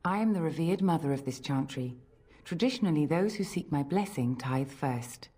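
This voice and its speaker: A woman playing a stern, guarded head priest in a fantasy video game, formal and measured, with a husky voice. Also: deep voice, animated, dark tone. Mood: haughty, expectant. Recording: faint music behind the voice.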